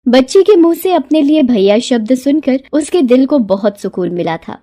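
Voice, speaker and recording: A woman tells a scripted moral story in a fairly high voice. Her pitch moves in lively swings, giving the narration an expressive, dramatic storybook feel. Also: moderate pace.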